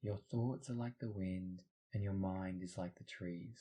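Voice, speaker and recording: A man's voice: deep voice, fairly even intonation, measured pace, dark tone. A man narrating a scripted guided meditation in a soothing, instructional manner.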